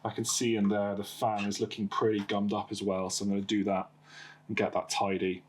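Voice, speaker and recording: Male host, croaky from a cold, hesitant workshop chatter, noisy home recording. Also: on the low side, monotone, fairly quick. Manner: hesitant, many uh and um fillers. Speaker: host of a PC repair channel. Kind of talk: casual hands-on repair talk.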